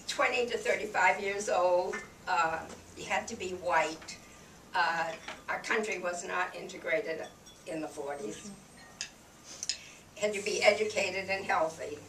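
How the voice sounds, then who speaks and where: An octogenarian woman from New Hampshire recalls her service with dry humor, her voice a little croaky from a cold. She speaks slowly, picked up from across an echoing room. Pots and dishes clatter in the background. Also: mid-range voice, far from the mic, slight background noise. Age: 80s. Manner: slow, wry reminiscing with soft laughs and fillers. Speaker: veteran guest speaker. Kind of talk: personal war memories told to an audience. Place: room with an audience.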